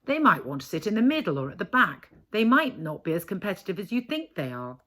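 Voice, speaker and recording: A woman's voice: mid-range voice, very animated, a little fast, a bit bright. A woman voicing a mild, placating husband in a novel reading, easygoing and reasonable. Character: mild, agreeable, placating husband of a snobbish wife.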